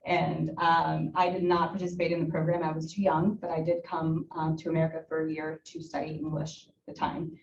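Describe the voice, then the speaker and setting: A woman's voice: deep voice, little pitch movement, fairly quick, creaky, few pauses, a little hiss or hum. A woman in her thirties from Ukraine, a native Russian speaker, giving a personal talk to a club with many fillers and restarts. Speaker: guest speaker. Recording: echoey room from far off, dull.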